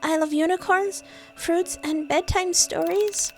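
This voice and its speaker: Woman acting a very high-voiced shy little boy. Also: steady tone, a little slow, a little mellow, low-quality recording. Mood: shy, sweet. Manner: hesitant, childlike. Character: innocent, shy eight-year-old boy. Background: music.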